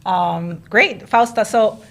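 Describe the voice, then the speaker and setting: A woman's voice: mid-range voice, slow, creaky, fairly bright tone. A woman from Peru hosting an interview, chatty and affirming, who often tags her sentences with "right?". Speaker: interviewer host. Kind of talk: casual interview conversation.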